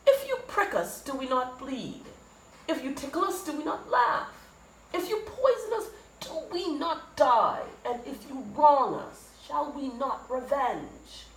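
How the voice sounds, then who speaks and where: A woman plays a bitter, wronged merchant in a Shakespearean monologue, fierce and impassioned. The pitch climbs high and swings widely through emphatic questions. The room rings with echo. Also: expressive, a little slow. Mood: impassioned, indignant. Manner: emphatic rhetorical questions, hammered lists of grievances. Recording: echoey room with faint noise.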